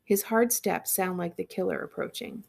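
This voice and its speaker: A woman reading a novel aloud as a librarian, in expressive first-person narration. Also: on the low side, normal speed, creaky.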